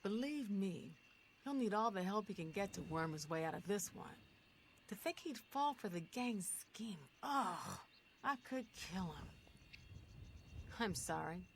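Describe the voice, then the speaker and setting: A woman's voice: mid-range voice, lively intonation, a little slow, slight background noise. An actress playing an aggrieved, frank townswoman and wife in a fantasy video game. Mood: exasperated. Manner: frank, rambling, exasperated. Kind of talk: video game dialogue acting.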